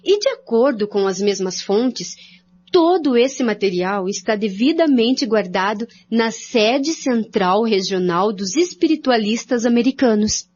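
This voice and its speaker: An actress playing an earnest, scholarly researcher in a radio drama, with a formal expository delivery and a Brazilian accent. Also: female voice, on the high side, expressive, measured pace, darkish tone. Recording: dull lo-fi audio.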